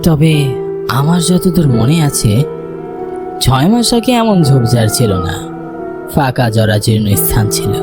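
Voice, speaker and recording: A man acting a frightened, animated haunted villager. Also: mid-range voice. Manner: suspenseful, stammering when addressing others. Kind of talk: first-person dramatic horror story narration.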